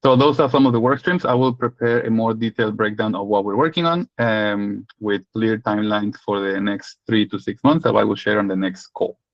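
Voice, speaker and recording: A man's voice: lowish voice, fairly quick, hardly any pauses, dry recording, lo-fi sound. A man with a Mexican accent giving a legal update on a community video call, expansive and joking in long winding sentences. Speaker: legal and data protection lead.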